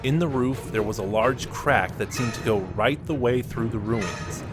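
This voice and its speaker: A man narrating a fan-made audio drama in a steady storytelling manner. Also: medium pitch, normal speed. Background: music.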